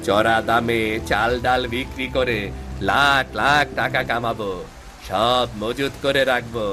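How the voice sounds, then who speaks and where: A man's voice: medium pitch, steady tone, measured pace, lightly acted. A man playing a greedy, scheming, bullying moneylender in a cartoon, with a mocking, gloating manner. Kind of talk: Bengali cartoon voice acting. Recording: music behind the voice.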